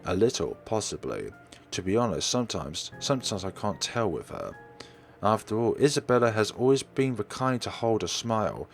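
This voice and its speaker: A man playing a gentle, caring, quietly worried woman schoolteacher in a visual novel read-through. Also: fairly low pitch, very animated, moderate pace, creaky, faint music behind. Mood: pensive.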